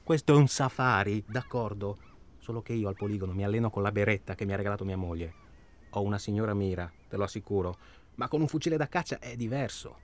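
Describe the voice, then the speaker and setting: A man's voice: lowish voice, fast talker, lightly acted. An actor playing a stubborn, touchy paying client in a radio drama, insistent and defensive in his way of talking. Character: stubborn, fussy male paying client, touchy amateur shooter. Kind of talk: naturalistic Italian radio drama acting.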